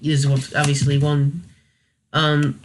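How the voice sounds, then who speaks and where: A man's voice: on the high side, completely flat delivery, measured pace, slight background noise. A man hosting a review video with casual, unscripted commentary, hesitant and thinking aloud as he corrects himself. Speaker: host of a video review series.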